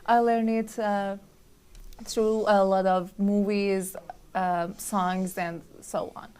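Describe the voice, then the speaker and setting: A young woman from Iran with a Persian accent, a student answering interview questions in English, pausing often with fillers and restarts. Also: medium pitch, flat delivery, darkish tone, clear, resonant tone. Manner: hesitant, many fillers and restarts. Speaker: student guest.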